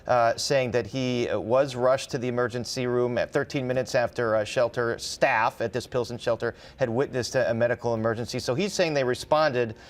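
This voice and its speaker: Male American reporter, medium register, informal analysis style, halting delivery as he thinks aloud. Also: mid-range voice, fairly even intonation. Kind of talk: conversational news analysis. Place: TV studio.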